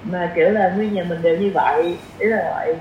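A woman's voice: lowish voice, fairly even intonation, a little fast. A woman with a southern Vietnamese accent talking casually as a podcast guest, explaining things in a chatty way with everyday examples. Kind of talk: casual conversational interview. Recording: echoey room, muffled, faint noise.

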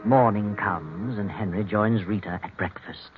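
An actor playing the ominous narrator of a mystery tale, in scripted old-time radio style. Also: male voice, lowish voice, measured pace, over music, natural voice. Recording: dull vintage radio recording.

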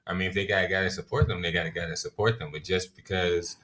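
Low-pitched male co-host, thoughtful and measured, casual conversation. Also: fairly even intonation, a bit bright, low-quality recording. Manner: measured, reflective, gives advice. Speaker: podcast co-host. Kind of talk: casual reflective chat.

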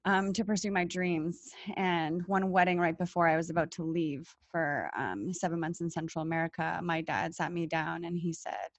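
A young woman raised in Canada speaks in a slightly low voice. She tells personal stories candidly and informally, stressing her points and acting out bits of dialogue. The recording is dry and a touch muffled. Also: fairly even intonation, normal speed, hardly any pauses, dry recording. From Canada, born in Poland. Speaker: interview guest. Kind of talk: candid conversational personal storytelling.

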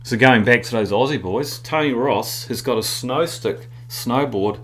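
A middle-aged man with a New Zealand accent, telling rambling, animated stories with many fillers in a casual interview. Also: medium pitch, measured pace. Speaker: guest.

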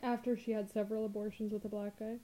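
A woman's voice: mid-range voice, little pitch movement, quick pace, warm tone, a little hiss or hum, low-quality recording. A woman in her thirties co-hosting a casual podcast chat, blunt and wry, swearing without fuss. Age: 30s. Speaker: podcast co-host.

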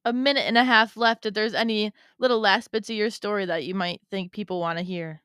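A woman interviewer with a clear, smooth voice at a middle pitch chats casually. She chimes in often with brief words of agreement and encouragement, recorded dry without room echo. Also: fairly even intonation, brisk, fairly bright tone. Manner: frequent short affirming interjections. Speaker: interviewer, host. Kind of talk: casual supportive interview chat.

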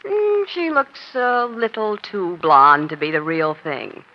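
A woman's voice: mid-range voice, huge pitch swings, slow. A woman playing a savvy, chatty American newspaper reporter who feigns casual innocence in a 1940s radio crime drama. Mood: slyly chatty. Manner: breezy, chatty, slyly casual. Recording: dull, lo-fi old radio recording.

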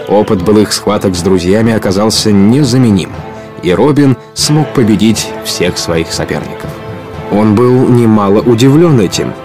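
A man narrating a scripted storybook audio play in an expressive, literary storytelling manner. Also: lowish voice.

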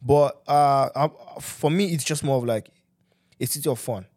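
A man chatting casually, halting and repeating words as he searches for what to say. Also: medium pitch, darkish tone. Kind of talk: casual chat.

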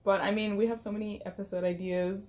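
A young woman co-hosting a casual podcast from her dorm room, with a low, soothing voice and a relaxed, agreeable manner. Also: fast. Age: young adult. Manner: relaxed, agreeable, many backchannels. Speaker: podcast co-host. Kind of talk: casual chat.

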